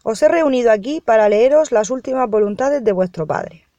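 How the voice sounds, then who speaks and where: A woman from Spain playing a formal, businesslike lawyer in a radio soap, speaking in a measured way. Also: a little high, expressive, mellow, no echo, lo-fi sound. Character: formal, businesslike female lawyer reading a will. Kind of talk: amateur radio soap acting.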